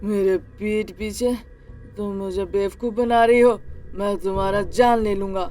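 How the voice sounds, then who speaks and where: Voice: mid-range voice, fairly even intonation, darkish tone, natural voice. An actor voicing a brutal, jealous, drunken husband in a dramatised story, threatening and domineering, with music behind. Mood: accusing, menacing. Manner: slow, threatening, bullying. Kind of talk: dramatised Hindi audio story.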